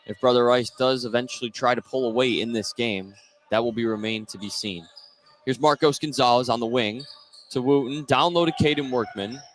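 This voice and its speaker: A man's voice: medium pitch, fairly quick, a bit bright, slight background noise. A man doing live play-by-play radio commentary of a basketball game. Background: indoor basketball game, bouncing ball.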